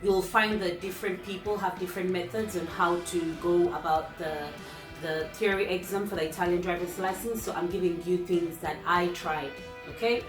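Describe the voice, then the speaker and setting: A woman's voice: on the low side, fairly even intonation, normal speed, over music. A woman who is a native English speaker, hosting her own channel and chatting directly to viewers as she walks through numbered tips. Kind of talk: casual tips talk to viewers. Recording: roomy echo.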